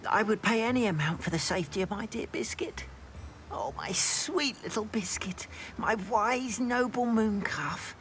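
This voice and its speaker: A woman's voice: mid-range voice, lively intonation, moderate pace, close to an everyday voice. An actress playing a sentimental, doting witch in a video game, effusive, fussy and theatrical. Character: sentimental, doting witch pining for her pet. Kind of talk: video game cutscene acting. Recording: clear background ambience noise.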